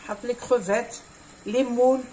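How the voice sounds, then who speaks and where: A woman's voice: on the high side, fairly even intonation, normal speed, dark tone, a little hiss or hum, poor audio. A Kabyle-speaking woman hosts a home cooking tutorial, switching between French and Arabic with many hesitant fillers. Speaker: cooking channel host.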